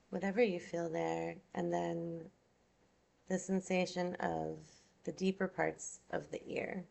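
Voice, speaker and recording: A woman yoga nidra instructor leading a guided meditation in a soothing, unhurried, gentle manner, leaving long pauses. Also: fairly low pitch, gravelly.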